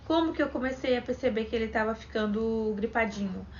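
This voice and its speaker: A young woman from Brazil chats to her camera as a vlogger, her voice sitting a little high. She retells events in a chatty, conversational way. The sound is dull and lo-fi with a faint hiss behind her. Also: fairly quick. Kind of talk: casual chat to camera.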